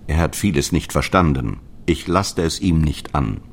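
An actor playing a stern, zealous apostle who preaches and commands in solemn scriptural language for an audio drama. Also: male voice, rumbling low, animated, normal speed, a little hiss or hum. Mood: preaching, instructing. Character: Paulus, stern zealous male apostle, guardian of the faith.

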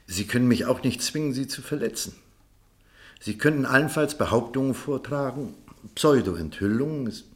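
A male actor plays a reserved doctor in a radio drama, his voice fairly low. He speaks formally and guardedly, measuring his sentences and holding firmly to his principles. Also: natural voice. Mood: resistant. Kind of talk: German radio play dialogue.

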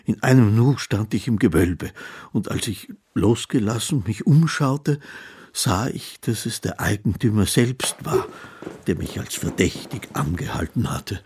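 A low, husky, timid musician. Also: male voice, animated, darkish tone, full character voice. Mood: wistful, reflective. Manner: hesitant, halting, formally polite. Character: timid, courteous musician, a councillor's son, telling his story. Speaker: actor narrating as the title character. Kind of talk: literary radio drama, first-person narration and dialogue.

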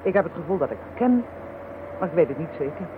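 Musing woman played by an actress, swooping pitch. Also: expressive, quick pace, lightly acted. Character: musing, faintly menacing female psychic tracker. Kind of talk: radio drama acting. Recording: tinny, band-limited, hissy radio play recording.

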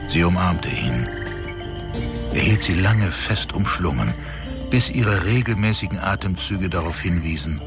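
A man's voice: low voice, lively intonation, unhurried. A man narrating a fantasy audio drama in a scripted storytelling style. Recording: prominent music under narration.

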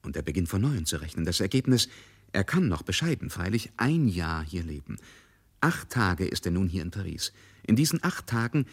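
Male radio-play narrator, low-pitched, husky, literary dramatic storytelling. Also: sing-song, normal speed.

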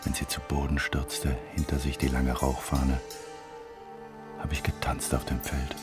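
An actor playing an elderly emigrant in a radio drama monologue, brooding over a wartime childhood in broken phrases. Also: male voice, rumbling low, monotone, a little slow, over loud music. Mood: wistful, dark. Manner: fragmented, brooding recollection. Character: old male emigrant recalling a beaten wartime childhood.

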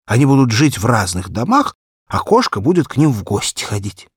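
A man's voice: medium pitch, sing-song, a little fast, husky, dry recording, clean studio sound. A man voicing an eager, bossy little boy in a children's storybook reading.

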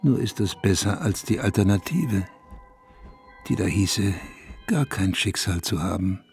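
An actor playing a wistful, bitter man in a first-person radio drama, speaking in a measured, intimate way. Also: deep voice, animated, gravelly, a little mellow, faint music behind, full character voice. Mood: bitter, intense. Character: wistful man recalling a lost idol, brooding and bitter.

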